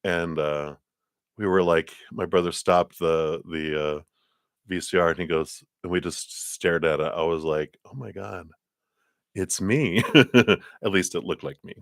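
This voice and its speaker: Deep-voiced chatty man reading tarot. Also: fairly even intonation, unhurried, no echo, clean studio sound. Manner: rambling, chatty, laughs often. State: tickle in throat, coughing. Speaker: tarot reader hosting a live stream. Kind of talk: casual livestream chat and card reading.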